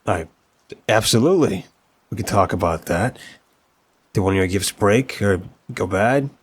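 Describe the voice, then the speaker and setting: Casting: male actor for devoted mafia boss boyfriend, deep voice, courteous and a little hesitant, affectionate, for intimate audio roleplay. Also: animated, unhurried, breathy edge. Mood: concerned, puzzled. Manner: polite, earnest, hesitant with stammers and soft chuckles.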